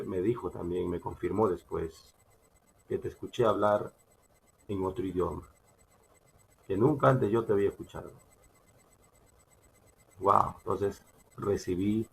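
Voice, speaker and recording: A man with a Peruvian accent preaching a sermon, emphatic and halting, repeating phrases as he teaches from scripture. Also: low-pitched, creaky, slight background noise, rough recording. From Peru. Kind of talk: sermon with Bible reading and personal testimony.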